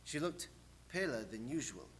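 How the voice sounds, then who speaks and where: A man's voice: medium pitch, very animated, normal speed, a little hiss or hum, close to an everyday voice. A man playing an earnest, determined young husband hunting a vampire in a radio drama, clipped and tense. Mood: grim, weary.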